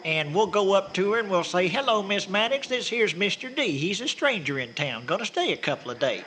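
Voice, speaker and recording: A man's voice: high voice, very animated, fairly quick, pushed and bright, a little hiss or hum, heavily acted. An actor playing a folksy, bossy, good-hearted small-town man with a Southern American accent in a comic radio drama. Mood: friendly, persuasive. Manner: folksy, insistent, talkative. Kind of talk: comic old-time-style radio drama.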